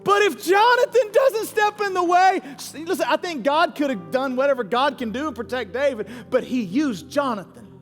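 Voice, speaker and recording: A male pastor in his forties delivers an emphatic, candid sermon in a very high-pitched voice. He repeats phrases to drive them home. His voice rings with long reverb in a large church auditorium, with faint music underneath. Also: animated, moderate pace, hardly any pauses, fairly bright tone. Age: 40s.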